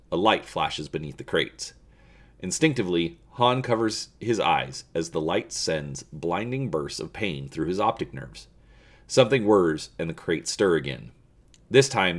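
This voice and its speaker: A man hosting a casual video and narrating an adventure story aloud in a measured, expressive way. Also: low voice. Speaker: channel host reading a gamebook aloud.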